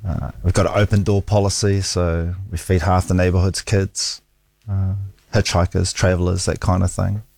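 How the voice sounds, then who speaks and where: A man's voice: deep voice, little pitch movement, moderate pace, vocal fry, a little mellow, no room sound. A middle-aged man with a New Zealand accent, a guest telling candid, self-deprecating stories about his life in a studio interview. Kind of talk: casual interview storytelling. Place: radio studio.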